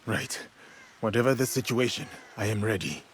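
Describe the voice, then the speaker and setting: A man playing a calm, honourable samurai warrior in a video game, speaking in a measured, formal and gentle way. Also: on the low side, slight background noise, close to an everyday voice. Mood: steady, resolute. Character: calm, grave, honourable male samurai warrior, mentor to a boy. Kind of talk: video game cutscene acting.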